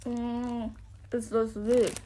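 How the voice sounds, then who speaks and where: A woman speaking simple, halting in a casual food vlog. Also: a little high, flat delivery, warm tone, slight background noise. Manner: simple, halting non-native English. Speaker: food vlogger.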